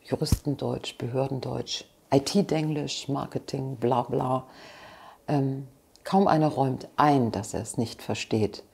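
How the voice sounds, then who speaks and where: A woman's voice: a bass voice, measured pace, creaky, mellow, a little hiss or hum. A woman, answering interview questions frankly and with passion, seated in a living room. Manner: passionate, frank, with restarts and asides. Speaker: interview guest.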